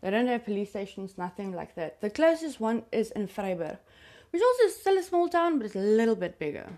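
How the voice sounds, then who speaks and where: A woman's voice: mid-range voice, big pitch swings, brisk, a little mellow. A South African woman whose first language is Afrikaans, telling a true crime story in a chatty, confiding way to her listeners. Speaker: podcast host.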